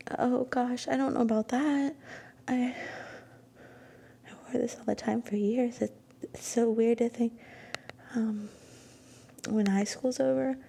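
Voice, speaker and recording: A woman plays an affectionate, bashful young student girlfriend in an intimate ASMR audio drama. Her voice is high and mellow, with a noticeable creak at the edges. She talks softly and hesitantly, often dropping to a breathy whisper. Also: heavily acted. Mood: bashful, musing. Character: shy, sweet, affectionate young Japanese university student girlfriend. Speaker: ASMR roleplay performer. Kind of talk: soft-spoken ASMR girlfriend roleplay.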